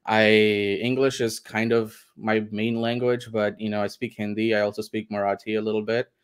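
A man from India talks as a guest over a video call from home. He is relaxed and thoughtful and often hedges. His voice is lowish, smooth and clear. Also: normal speed. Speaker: interview guest. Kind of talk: casual interview.

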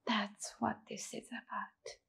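Husky despairing daughter, acted by a woman. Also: fairly high pitch, flat delivery, a bit bright, whispered, exaggerated voice. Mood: hushed, wistful. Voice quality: husky, breathy, creaky. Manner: halting, deliberate, long pauses between short phrases. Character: despairing, resigned adult daughter confronting her mother. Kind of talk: slow dramatic stage monologue.

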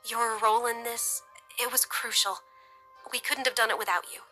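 Young wife and mother, voiced by a woman narrator, gentle, breathy, emotional, bright tone, audiobook character. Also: fairly high pitch, fairly quick, soft background music, pitched above the performer's own voice, rough recording. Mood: tearful gratitude.

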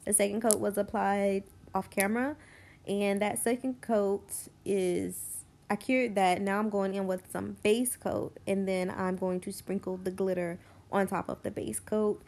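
A woman's voice: mid-range voice, fairly even intonation, a little slow, creaky, darkish tone. A young woman narrates a nail tutorial in a loose, chatty way, full of fillers and asides. Her voice sounds stuffy and congested, as if she has a cold. She speaks close to the mic. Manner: rambling, chatty, lots of fillers. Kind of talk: casual voice-over tutorial.

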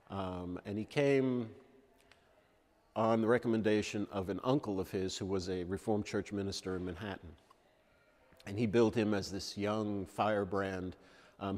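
An older man, a church elder, explaining church history at an unhurried pace with frequent ums. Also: on the low side, darkish tone. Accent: New York. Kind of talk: interview answers on church history. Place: large church sanctuary with Christmas tree. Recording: long reverb of a large church.

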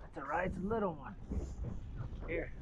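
Excitable man over loud outdoor noise. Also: on the high side, normal speed. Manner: excitable, repeats short urgent calls. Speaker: host of a fishing vlog, coaching children. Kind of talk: casual outdoor fishing chat and shouted coaching. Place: outdoors on a fishing jetty by the water. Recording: muffled, very noisy outdoor recording. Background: loud wind and water noise.

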